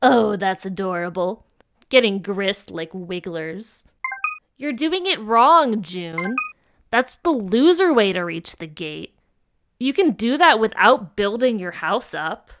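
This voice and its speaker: A woman's voice: mid-range voice, expressive, slow pace, a bit bright. A woman playing a smug, teasing alien troll prankster in an audio drama, mocking and condescending.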